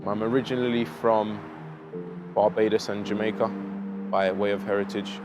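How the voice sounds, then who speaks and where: A young man with a South East London accent tells his life story in a casual, reflective monologue, with measured and hesitant phrasing. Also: on the low side, flat delivery. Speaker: vlogger telling own life story. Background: background music.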